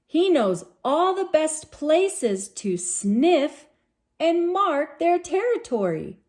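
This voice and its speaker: Female children's storybook reader. High voice, unhurried delivery, expressive, sing-song touches. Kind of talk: read-aloud storytelling for young children.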